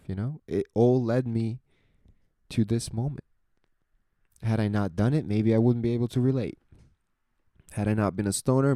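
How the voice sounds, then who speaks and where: A young man with a soft, soothing voice, talking slowly and reflectively into a close microphone in a solo podcast. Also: fairly low pitch, no room sound. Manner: slow, introspective, rambling. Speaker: podcast host.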